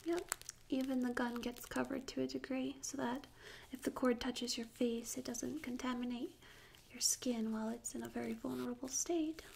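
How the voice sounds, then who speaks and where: A woman speaking softly and whispering in a breathy, slow, gentle way for an ASMR roleplay, playing a tattoo artist, close on a binaural microphone. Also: high voice, steady tone. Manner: slow, gentle, unhurried instructions. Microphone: close binaural stereo microphone. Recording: close binaural recording with audible noise.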